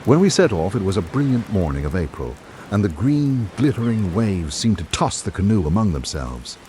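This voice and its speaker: An actor playing a reflective literary traveller, narrating a radio drama in a measured, formal way. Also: male voice, fairly low pitch, big pitch swings, dark tone, a little hiss or hum. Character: reflective literary traveller recalling island life. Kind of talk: scripted first-person narration.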